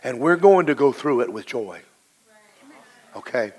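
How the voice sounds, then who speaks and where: Pastor, man, middle-aged, low and hoarse, emphatic preaching with drawn-out stresses. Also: animated, a little mellow. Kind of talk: sermon.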